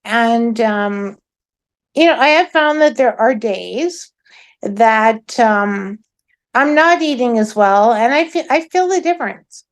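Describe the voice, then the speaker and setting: A middle-aged woman, a guest in a casual chat, with a loud voice and a friendly, drawn-out way of talking. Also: on the high side, lively intonation.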